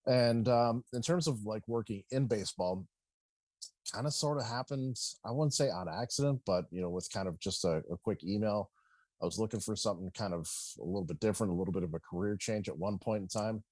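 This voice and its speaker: A man's voice: on the low side, no room sound. A middle-aged man chatting casually as a podcast guest, bantering and peppering his talk with "you know". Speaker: guest, baseball public address announcer.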